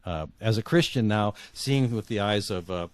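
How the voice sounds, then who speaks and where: A middle-aged man with a low voice, recorded dry and close. He talks as an interview guest reflecting on his own life, halting and repeating words for emphasis. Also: clear, resonant tone, no echo. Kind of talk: reflective personal storytelling in an interview.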